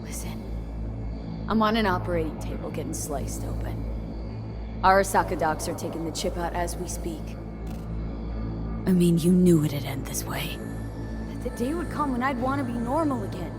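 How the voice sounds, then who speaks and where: An actress playing a weary, defiant young female mercenary in a video game, blunt and halting under strain. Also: fairly low pitch, lively intonation, over music, lightly acted. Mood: defiant, bitter. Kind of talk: cinematic video game acting.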